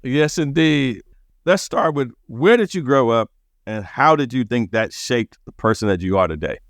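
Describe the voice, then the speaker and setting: A man's voice: mid-range voice, animated, normal speed, no echo, clean studio sound. A man hosting a podcast interview, talking conversationally with his guest. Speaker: podcast host.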